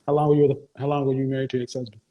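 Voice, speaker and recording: A man's voice: mid-range voice, level tone, very fast, lo-fi sound, a little hiss or hum. A man hosting a call-in advice show, questioning a caller bluntly and cutting in often. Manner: blunt, interrupting, interrogating. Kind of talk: call-in interview.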